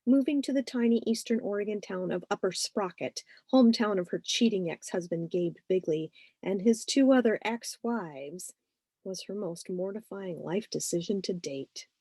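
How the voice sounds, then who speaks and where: A woman author reading her novel aloud as expressive scripted narration. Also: mid-range voice, normal speed, breathy edge, no room sound.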